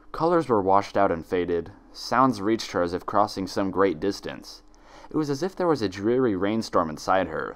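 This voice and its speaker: A man narrating a story like a dramatic audiobook, in a theatrical, measured manner. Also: low-pitched.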